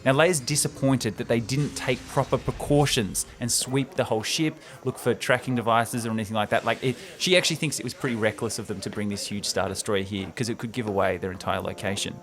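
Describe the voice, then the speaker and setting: A man's voice: mid-range voice, steady tone, brisk, hardly any pauses, a bit bright. A man co-hosting a podcast, recapping a story in an informal, enthusiastic, easily amused way. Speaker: podcast co-host and narrator. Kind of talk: casual recap of a film script with banter.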